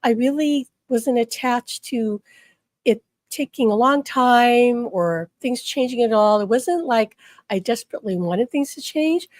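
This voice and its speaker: A slightly hoarse woman giving an earnest spiritual talk, often checking in with the listener. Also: on the high side, darkish tone, no room sound, studio quality. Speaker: spiritual teacher.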